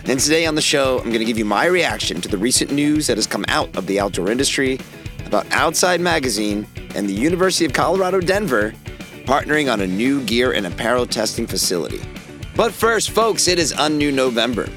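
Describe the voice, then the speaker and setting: A middle-aged man with a raspy voice hoarse from a cold, hosting an opinionated outdoor podcast with emphatic, wry delivery. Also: mid-range voice, brisk, music in the background. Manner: emphatic and wry, lively rises on key words. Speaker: podcast host.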